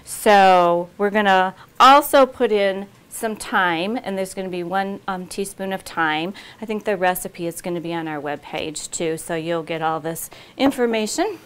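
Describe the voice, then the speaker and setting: Slow-talking woman hosting a cooking demo. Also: mid-range voice, fairly bright tone, smooth. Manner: chatty, unhurried, many ums and restarts. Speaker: cooking show host. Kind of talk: casual cooking demonstration. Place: home kitchen, indoors.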